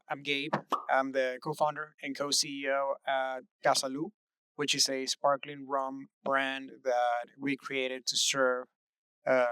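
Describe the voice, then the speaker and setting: Earnest young Venezuelan-born founder, crisp voice. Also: male voice, mid-range voice, pushed and bright, no room sound, poor audio. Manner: earnest, reflective, frequent "uh" fillers. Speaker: startup co-founder, interview guest. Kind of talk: relaxed interview answers.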